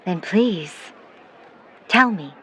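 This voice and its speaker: A woman's voice: fairly low pitch, lively intonation, extremely slow, fairly bright tone, full character voice. Protective 1950s mother, middle-aged, formal wordy phrasing, skeptical, audio drama, slight noisy ambience. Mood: guarded, probing. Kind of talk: vintage-set audio drama acting.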